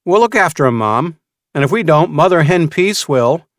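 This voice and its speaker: A man voicing an energetic, keen seventeen-year-old boy in an audiobook, polite and eager. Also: a little high, moderate pace, dry recording, clean studio sound, voice pushed up, natural voice.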